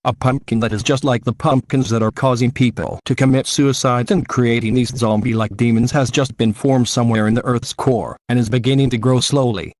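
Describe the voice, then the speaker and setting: A man's voice: mid-range voice, steady tone, fairly quick, hardly any pauses, darkish tone, no room sound, clean studio sound. A man reading a scripted emergency news bulletin in a formal, declarative way. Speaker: news announcer.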